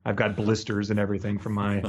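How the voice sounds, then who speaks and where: A man's voice: on the low side, completely flat delivery, fast talker. A man co-hosting a podcast in a casual, joking style, riffing comically on a book. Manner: joking, riffing, chuckles through talk. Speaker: podcast co-host.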